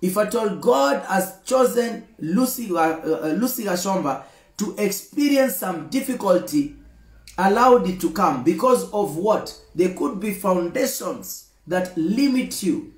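A man's voice: high-pitched, lively intonation, a little slow, roomy sound. A Kenyan man in his thirties preaching a daily devotional with emphatic, repetitive phrases and lots of gestures, filmed close up on a phone camera indoors. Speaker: pastor. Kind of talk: livestreamed daily devotional sermon. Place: indoor room with screen and flowers.

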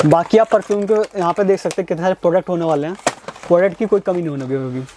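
A man's voice: high-pitched, rapid-fire, heavy background noise. A man hosting a walkthrough of a wholesale perfume shop, eagerly repeating prices back to his viewers. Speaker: market video host. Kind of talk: casual market walkthrough.

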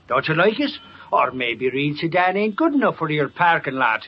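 Husky, high blustering sidekick. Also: male voice, very animated, normal speed, heavily acted. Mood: flustered bluster. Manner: blustery, folksy exclamations. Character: blustering, comic, slow-witted male sidekick. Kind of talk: old-time radio comic acting. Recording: lo-fi vintage radio.

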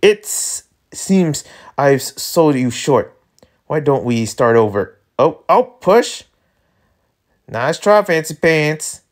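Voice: medium pitch, lively intonation, voice pushed up. A performer voicing a menacing, mocking cowboy with a folksy drawl. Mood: cold, menacing. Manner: slow, folksy, taunting drawl.

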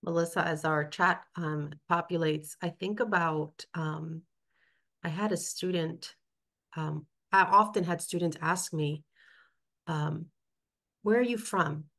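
A woman's voice: on the low side, takes it slowly, a bit bright. A woman from New York, a former principal, co-hosting a webinar over a video call and reflecting aloud while reading chat comments. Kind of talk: conversational webinar discussion.

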